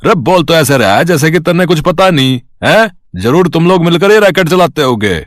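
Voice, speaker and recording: A man's voice: on the high side, animated, moderate pace, no echo, studio quality, lightly acted. Man playing a bullying inspector, dryly recorded. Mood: accusing, threatening. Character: gruff, bullying, suspicious police inspector. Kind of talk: acted Hindi cartoon story dialogue.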